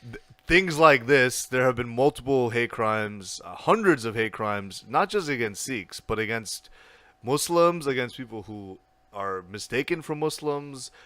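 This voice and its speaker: A young man talking as a podcast guest, animated and blunt, with lots of restarts and casual swearing. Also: medium pitch, unhurried, no room sound.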